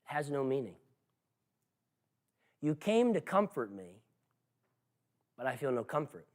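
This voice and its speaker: A man's voice: medium pitch, big pitch swings, measured pace. Earnest, emphatic male preacher. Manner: earnest, exhorting, rhetorical questions; emphatic, swings from hushed to loud. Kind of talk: expository sermon.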